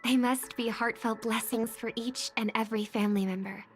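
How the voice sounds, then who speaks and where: Gentle young game heroine played by a woman: high voice, measured and polite, thoughtful, music underneath. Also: fairly even intonation, natural voice. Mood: courteous, warm. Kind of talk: video game voice acting.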